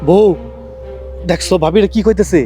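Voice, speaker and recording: A man's voice: high voice, normal speed, dark tone, faint music behind, natural voice. An actor playing a greedy, scheming village man who bosses his wife. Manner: brusque, bossy with his wife. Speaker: neighbor.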